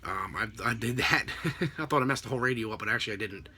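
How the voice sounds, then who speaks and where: A middle-aged American man giving a casual, unscripted explanation to camera in a halting, rambling way. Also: fairly low pitch, pushed and bright, slight background noise. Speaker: vlogger, radio scanner hobbyist. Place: small home room with a desk, indoors. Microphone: computer webcam at desk distance.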